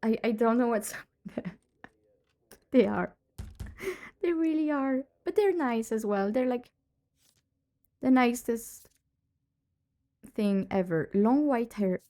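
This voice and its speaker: A woman's voice: a little high, takes it slowly, pauses often, mellow. A woman with a cold streaming a detective game, chatting casually and laughing often as she plays. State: has a cold, voice lowered. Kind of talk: casual live-stream chat.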